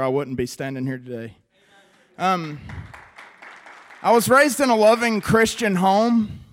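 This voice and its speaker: A man in his twenties with a West Virginia accent, giving an earnest, conversational personal testimony to a church audience with frequent pauses. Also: a little high, smooth. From West Virginia, USA. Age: 20s. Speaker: recovery program member giving testimony.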